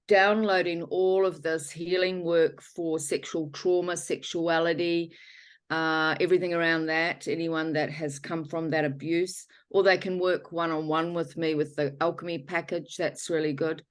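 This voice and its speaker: A woman's voice: low-pitched, level tone, unhurried, clear, resonant tone. A woman speaking as a healer guest, leading a guided healing talk in a rambling, self-correcting way.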